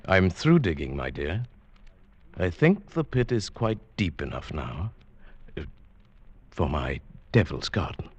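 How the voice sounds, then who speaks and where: An actor playing a meek, henpecked older husband who narrates his secret plot, mild, hesitant and deliberate, in old-time radio suspense style. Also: sits low, very animated, darkish tone, slightly rough, no room sound, lo-fi sound, full character voice. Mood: mild, evasive.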